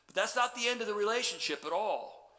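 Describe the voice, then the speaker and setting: A middle-aged man preaching a sermon as pastor, animated, then dropping to quiet emphasis. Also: sits high, a little fast. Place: church sanctuary stage. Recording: long church reverb.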